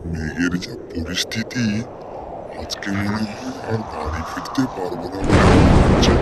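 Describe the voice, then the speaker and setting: An actor playing a solemn, stern wandering holy man in a cartoon folk tale, speaking formally and deliberately. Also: deep voice, very noisy, close to an everyday voice. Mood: pleading, troubled. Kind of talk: Bengali animated folk-tale dialogue. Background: loud music.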